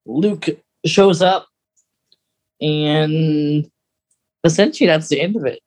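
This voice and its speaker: A podcast co-host chatting casually and recapping a story in a halting, rambling way. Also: mid-range voice, darkish tone.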